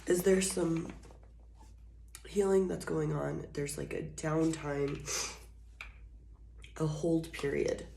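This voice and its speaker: Hoarse woman tarot reader, slow and soft, deep voice, faint hiss behind her. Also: flat delivery. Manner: soft, slow and drawn-out, with scattered fillers. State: hoarse, recovering from illness, coughing. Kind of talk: casual channeled tarot reading. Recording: echoey room, faint noise.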